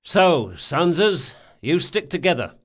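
A man voicing a shrewd male leader of thieves in an audiobook, giving brisk, emphatic orders. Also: a little high, big pitch swings, pitched above the performer's own voice, heavily acted. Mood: decisive.

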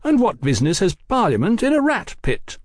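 A man voicing a suave, mild-mannered gentleman journalist in an audiobook, courteous, effusive and wordy. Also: high voice, wildly swooping pitch, a little fast, dry recording, clean studio sound, voice pushed up, heavily acted. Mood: mild inquiry. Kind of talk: audiobook character acting.

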